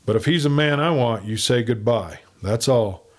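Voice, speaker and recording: A man reading aloud takes on a tough western lawman from a storybook. He is shrewd and unshaken, and gives orders bluntly in a mid-pitched voice. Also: moderate pace, natural voice. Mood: hard, steady. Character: shrewd, hard, steady male lawman in a western.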